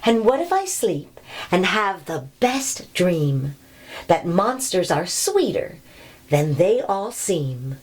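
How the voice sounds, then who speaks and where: A woman's voice: fairly low pitch, big pitch swings, slow, darkish tone, slightly rough, heavily acted. A woman voicing a hopeful, upbeat young boy in a storybook read-aloud, asking rhyming questions with confidence.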